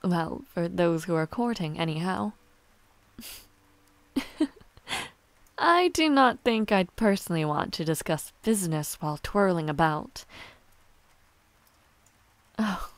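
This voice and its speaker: Woman playing a young noble villainess, romantic audio roleplay, proud but shy, tender, formal halting phrasing, unhurried, dry close sound. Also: fairly low pitch, animated, no echo. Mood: playful, fond. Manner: formal, halting, softly spoken.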